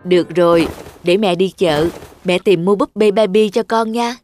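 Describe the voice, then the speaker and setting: A woman voicing a caring mother in a children's cartoon, explaining things gently. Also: medium pitch, normal speed, close to an everyday voice. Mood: gentle, indulgent. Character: caring mother of a young girl, sometimes hurried. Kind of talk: Vietnamese children's cartoon voice acting. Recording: music bed behind the voice.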